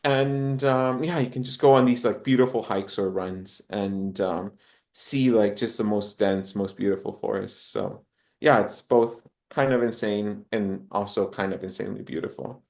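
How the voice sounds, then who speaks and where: A man's voice: fairly low pitch, fairly even intonation, moderate pace, vocal fry, darkish tone. A man from Honduras chatting casually as a podcast guest, in a reflective, rambling way with hesitant phrasing. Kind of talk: casual interview chat.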